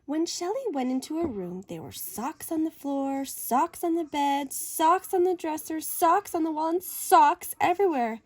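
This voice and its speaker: A high-voiced woman reads a children's story aloud. Her delivery is animated and theatrical, with wide swings in pitch and deliberate dramatic phrasing. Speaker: story reader. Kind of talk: expressive children's picture-book read-aloud.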